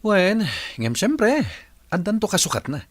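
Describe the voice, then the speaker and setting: A man's voice: sits high, sing-song, measured pace, no room sound, exaggerated voice. A man playing a pushy, grasping elder brother in a radio drama, emphatic and complaining, with an Ilocano accent. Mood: scheming.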